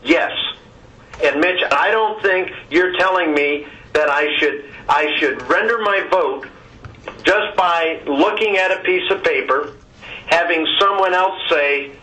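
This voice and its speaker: A man speaking as a board member in a conference call discussion, halting and repeating words, often tagging phrases with "okay". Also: high-pitched, bright, forceful tone. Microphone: telephone. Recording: distant conference call line.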